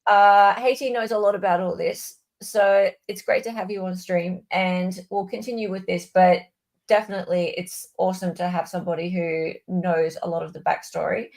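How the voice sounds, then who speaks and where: A woman's voice: on the low side, steady tone, normal speed, gravelly, a little mellow. A woman chatting casually as host of a livestream.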